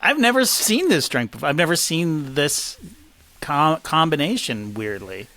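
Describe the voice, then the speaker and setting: A man's voice: fairly high pitch, sing-song, unhurried, sharp tone. A middle-aged man chatting casually as an agreeable, amused podcast co-host. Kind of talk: casual chat.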